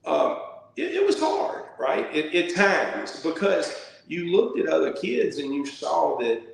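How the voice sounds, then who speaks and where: A man's voice: fairly high pitch, sing-song, measured pace, creaky, a little hiss or hum. A middle-aged man, chatting casually as an interview guest in a folksy, plainspoken way. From rural Louisiana, United States. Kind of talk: casual reminiscing conversation. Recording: very echoey, reverberant room sound.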